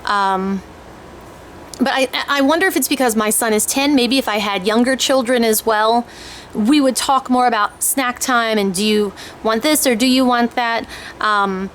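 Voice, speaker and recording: Higher-voiced woman, informal and hesitant. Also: low-quality recording. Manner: informal, hesitant, thinking aloud. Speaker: homeschooling parent reviewing language kits. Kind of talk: casual product overview, explaining. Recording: clear background noise.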